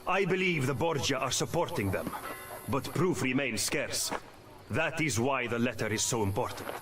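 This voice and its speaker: A man's voice: mid-range voice, lively intonation, moderate pace, close to an everyday voice. A man with an Italian accent playing a grave, frustrated Renaissance conspirator in a video game cutscene. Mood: grave, urgent. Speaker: video game character actor. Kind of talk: dramatic game cutscene acting. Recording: game audio with music behind.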